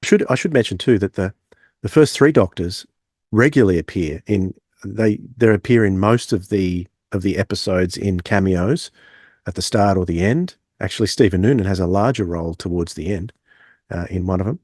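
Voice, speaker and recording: Male podcast co-host: casual, discursive reviewer, lowish voice, close dry mic. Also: normal speed, darkish tone, no echo.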